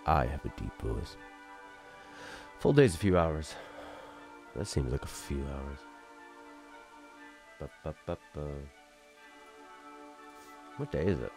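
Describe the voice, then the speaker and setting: Very deep-voiced man streaming a game. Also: very animated, slow, vocal fry, long pauses. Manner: rambling, joking, thinks aloud. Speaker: let's play streamer. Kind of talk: casual gameplay commentary. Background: game music.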